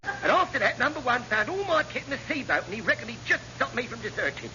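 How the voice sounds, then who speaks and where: A hapless, rambling chief petty officer in vintage radio comedy. He is cheeky and wheedling, with a very high, animated voice. The sound is dull with clear background noise. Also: fast talker. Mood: aggrieved, baffled. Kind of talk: classic radio sitcom acting. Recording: muffled, noisy old radio comedy recording.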